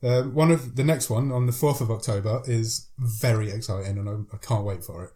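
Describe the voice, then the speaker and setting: A man with a head cold talks quickly through podcast news in a mellow, darkish tone. He chats casually and laughs easily with his co-host. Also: mid-range voice. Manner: chatty, laughs often, rattles off news. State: has a cold. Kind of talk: casual chat.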